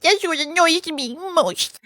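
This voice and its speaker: Lady doing a squeaky grumbly little mole, way high, dry close sound. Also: animated, slow pace, pushed and bright, no echo, exaggerated voice. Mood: grumbling. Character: excitable fussy male mole, small and hungry.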